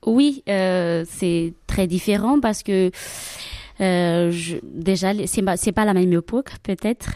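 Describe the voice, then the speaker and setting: A woman's voice: on the low side, slow pace, warm tone, no room sound, studio quality. A woman from Madagascar whose first language is Malagasy, a religious sister answering interview questions in a hesitant way with many fillers.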